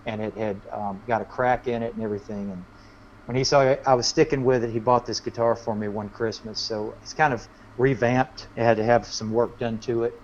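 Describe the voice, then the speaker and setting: A man's voice: medium pitch, fairly even intonation, a little fast, a little mellow, slight background noise. A man chatting casually as a musician guest in an interview, telling anecdotes in a rambling way. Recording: dull, lo-fi audio.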